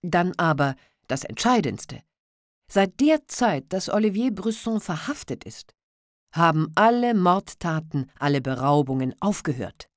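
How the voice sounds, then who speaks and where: A woman narrating a classic story for an audiobook in a measured, expressive reading. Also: lowish voice, no echo, studio quality. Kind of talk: scripted literary narration.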